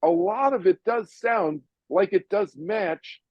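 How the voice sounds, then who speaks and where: An older man from Massachusetts hosting an audience Q&A over a video call, chatty and animated, often restarting his sentences. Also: high voice, slow, no echo, muffled recording. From Massachusetts, USA. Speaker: host answering audience questions.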